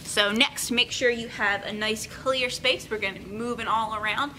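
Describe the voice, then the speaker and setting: A young woman hosting a children's storytime, speaking in an expressive, encouraging way as she reads and instructs. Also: moderate pace. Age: young adult. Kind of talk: picture-book reading and friendly instruction for children. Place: indoor children's room with carpeted steps. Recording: clear room noise, low rumble.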